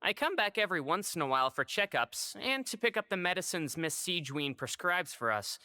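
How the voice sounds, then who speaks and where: A man's voice: high-pitched, expressive, fairly quick, bright, forceful tone, no echo, heavily acted. A man playing an easygoing, teasing male patient in a video game, with an offhand way of talking. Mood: resigned. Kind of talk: video game dialogue acting.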